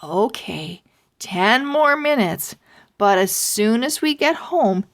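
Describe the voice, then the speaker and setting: A woman reads a practical storybook mother. She is firm and wry, with lively swings in her intonation. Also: on the high side, takes it slowly. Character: practical mother playing along as zombie lunch lady.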